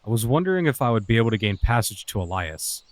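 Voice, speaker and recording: A man playing a composed, enigmatic lordly scholar in a fantasy audio drama, speaking in a measured, formal way. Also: lowish voice, very animated, no echo. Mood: polite.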